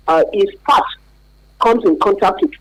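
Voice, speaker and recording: A woman's voice: medium pitch, lively intonation, moderate pace, fairly bright tone, no echo, rough recording. Emphatic Nigerian woman explaining as a therapist. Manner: emphatic, repeats key words, checks listener follows. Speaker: mental health therapist, interview guest. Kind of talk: explanatory radio interview.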